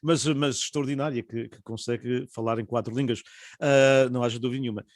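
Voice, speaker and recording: A man's voice: mid-range voice, steady tone, brisk, no echo, clean studio sound. A man moderating a panel, asking long, winding questions full of hesitations. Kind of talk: online panel interview.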